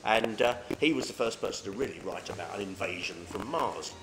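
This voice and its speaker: A man's voice: on the low side, brisk. A middle-aged man, an author, telling anecdotes in casual, chatty interview conversation. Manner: chatty, animated storyteller, frequent tag questions. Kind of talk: casual pub chat, anecdotes. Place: pub in Brighton. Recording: noisy pub recording with clicks.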